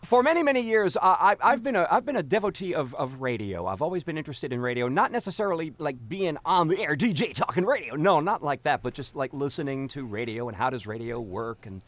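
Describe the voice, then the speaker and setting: Animated man telling a story. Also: on the high side, dry recording. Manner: halting repetitions, acts out reported conversations. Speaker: podcast cast member. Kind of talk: animated casual group chat, storytelling.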